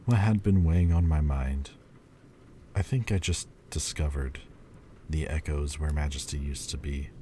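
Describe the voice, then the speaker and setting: A man playing a very deep, brooding demon lord. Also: fairly even intonation, a little hiss or hum. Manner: slow, quiet, halting confession. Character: brooding ancient male demon lord, wistful. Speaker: voice actor. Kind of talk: audio roleplay monologue.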